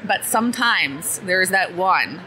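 A woman answers interview questions in a relaxed, rambling way, full of fillers and "like"s. Her voice sits on the low side. A clear crowd din from the convention floor fills the background. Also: slow pace. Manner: relaxed and chatty, many fillers and likes. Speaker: interviewee, company CEO. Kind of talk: casual interview chat. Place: busy conference floor. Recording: noisy convention floor recording.

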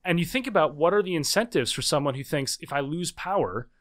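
A man in his thirties, a guest author in a conversational interview, explaining in quick, animated bursts with frequent restarts. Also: fairly high pitch. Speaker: guest, political scientist and author.

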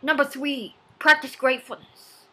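Woman playing an earnest, bright-voiced teenage boy vlogger. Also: high-pitched, steady tone. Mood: earnest, preachy. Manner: earnest, deliberate, preachy. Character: earnest fifteen-year-old nerdy boy video blogger. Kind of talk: amateur self-help vlog monologue. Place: small home room. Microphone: laptop webcam at arm's length.

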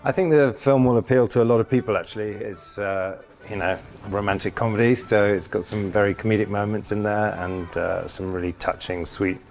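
A man's voice: on the low side, fairly even intonation, fairly quick, hardly any pauses, darkish tone, soft background music. A man from the UK, an actor, talking casually in a press interview about his film. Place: barn interior.

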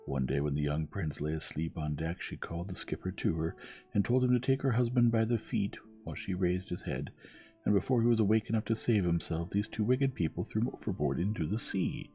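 A man reading a storybook tale as gentle, measured bedtime narration, soft and soothing. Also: low-pitched. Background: music.

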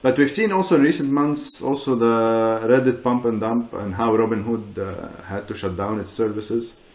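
A middle-aged man talking as a panel guest over a video call from his living room, relaxed and peppered with "you know". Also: mid-range voice, normal speed, roomy sound. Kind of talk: casual panel talk.

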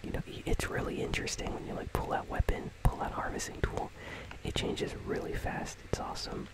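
A gaming channel host speaking entirely in a soft, breathy, unvoiced whisper, giving casual, rambling ASMR commentary. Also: a little fast. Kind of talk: ASMR whispered commentary on a game item shop.

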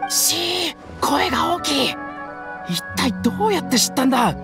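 Imagine a jumpy, shifty young smuggler who pleads and dodges the blame. His pitch swings widely from line to line. Loud game music plays under his voice. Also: expressive, normal speed. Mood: nervous. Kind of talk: Japanese game dub.

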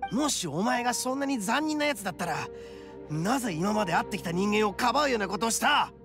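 An actor playing a brash, loyal, hot-blooded oni gang boss in a Japanese anime game, rough, cocky and gravelly. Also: male voice, sits high, lively intonation, fast. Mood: earnest. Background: game music.

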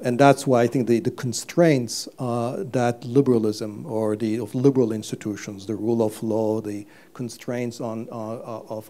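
Halting man, mellow low voice. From Turkey. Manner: halting, many fillers and restarts. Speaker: guest economist. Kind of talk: live stage interview. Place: stage before a live audience. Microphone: lapel mic.

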